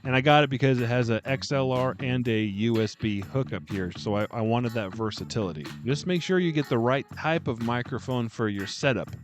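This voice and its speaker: Deep-voiced chatty man, close dynamic mic. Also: a little fast, few pauses, soft background music. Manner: chatty, self-deprecating, animated. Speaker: booktube vlogger. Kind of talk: casual tips vlog. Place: home room lined with bookshelves. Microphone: close dynamic microphone.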